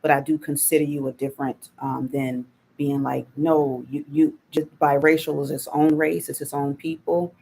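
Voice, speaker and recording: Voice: mid-range voice, level tone, normal speed, creaky, few pauses, darkish tone, slight background noise, rough recording. A channel host reacting on a live stream, talking hesitantly and restarting as they think aloud.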